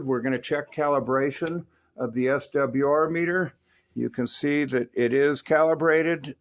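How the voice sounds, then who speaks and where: A man presenting a hands-on product demo with an enthusiastic manner. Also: mid-range voice. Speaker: host demonstrating radio gear.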